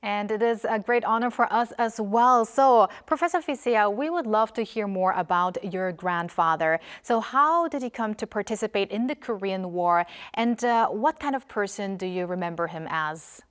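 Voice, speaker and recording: A woman hosting a news interview program, reading a scripted introduction and asking questions in a polished, steady way. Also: mid-range voice, normal speed, hardly any pauses, clear, resonant tone.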